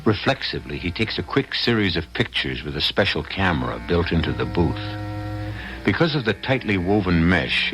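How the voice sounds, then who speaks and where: Deep-voiced dramatic radio narrator, male. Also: low-quality recording. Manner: deliberate, suspenseful. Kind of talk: dramatic scripted old-time radio narration. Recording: old radio recording with music.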